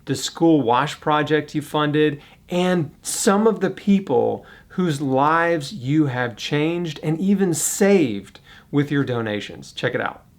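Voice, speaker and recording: A man's voice: a little high, animated, slow pace. A man presenting a charity appeal in a casual, earnest way, talking straight to the viewer with rhetorical questions. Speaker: fundraiser and presenter.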